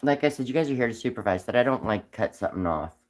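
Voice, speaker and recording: Man with a raspy sick voice. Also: mid-range voice, animated, fast. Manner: rambling, frequent ums, soft-spoken. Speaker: livestream host whittling wood. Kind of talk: casual live-stream chat while carving.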